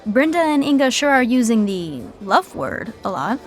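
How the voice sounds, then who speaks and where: A woman's voice: on the high side, sing-song, moderate pace, over music. A woman playing a gossipy narrator of a scripted sitcom podcast, telling it with wry, chatty asides. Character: wry, gossipy female storyteller commenting on characters.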